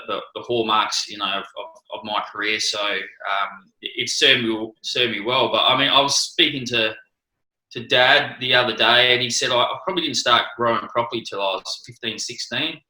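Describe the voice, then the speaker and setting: A man's voice: mid-range voice, sharp tone, echoey room, poor audio. A man with an Australian accent answering interview questions, hesitant, with many restarts and ums. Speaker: interview guest, former cricketer.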